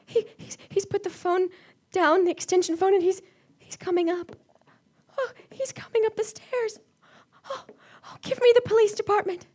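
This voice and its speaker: A woman's voice: extremely high pitch, fairly even intonation, normal speed, darkish tone. A woman playing a nervous, demanding invalid wife, stammering and pleading in a 1940s radio suspense drama. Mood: desperate panic. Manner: agitated, stammering, imperious, pleading.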